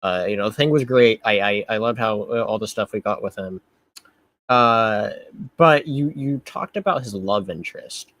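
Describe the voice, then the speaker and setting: A man's voice: on the low side, moderate pace, a bit bright, dry recording. A man hosting a casual film review chat, talking in an easygoing way. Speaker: host of a film review show.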